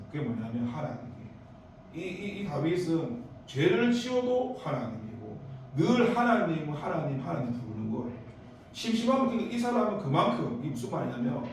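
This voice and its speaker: A man's voice: a little high, distant mic, slight background noise. A man with a Daegu accent preaching a youth service sermon, telling stories with sudden bursts of emphasis and rambling asides. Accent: Daegu Korean. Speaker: pastor. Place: church sanctuary. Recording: echoey church hall, preacher off-mic.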